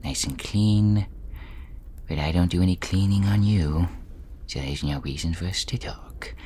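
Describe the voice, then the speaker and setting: A man voicing a meek, chatty dungeon servant with a hushed, throat-burnt rasp and a hesitant, self-effacing manner in an audio role-play. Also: low-pitched, unhurried, a little hiss or hum.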